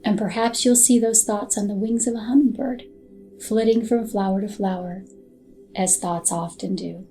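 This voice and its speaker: A woman narrating a scripted hypnotic guided meditation in a soothing, soft and unhurried manner. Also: medium pitch, fairly even intonation, low-quality recording. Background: background music.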